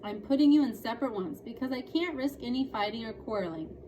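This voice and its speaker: A woman's voice: fairly high pitch, expressive, quick pace, pitched above the performer's own voice, close to an everyday voice. A woman voicing an earnest, emphatic schoolboy in a storybook reading. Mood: serious, cautioning. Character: earnest schoolboy, indignant and protective of his secret. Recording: clearly noisy room recording.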